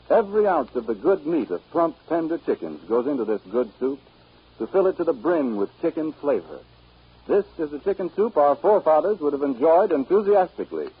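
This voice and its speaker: A man's voice: a little high, breathy edge. A man reading a scripted 1930s radio advertisement as a formal, emphatic announcer. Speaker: announcer reading sponsor's message. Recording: muffled 1930s radio recording.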